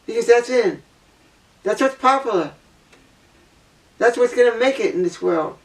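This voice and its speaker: A woman with a low voice giving a blunt opinion monologue, repeating her points for emphasis. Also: expressive, measured pace, far from the mic, low-quality recording. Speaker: vlogger.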